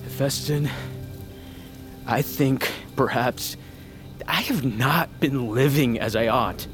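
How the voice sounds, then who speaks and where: Casting: man to play a bitter, wounded young lord in an audio fantasy, brooding and sincere, mid-pitched, over prominent music. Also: lightly acted. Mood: sorrowful, confessional. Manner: earnest, brooding, halting delivery. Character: wounded, bitter young noble son of a cruel ruler. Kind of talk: cinematic fantasy audio drama acting. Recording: music under the voice.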